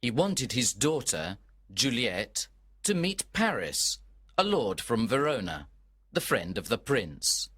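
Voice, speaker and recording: Man, scripted story narration for learners, mid-pitched, measured, unhurried. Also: very animated, fairly bright tone, breathy edge. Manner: measured, deliberate.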